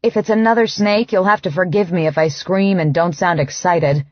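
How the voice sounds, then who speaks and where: A woman's voice: on the low side, normal speed, dry recording, dull audio, close to an everyday voice. A woman narrator voicing an anxious, miserable young woman stranded in a jungle, in a plaintive, emotional way. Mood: weary, wry.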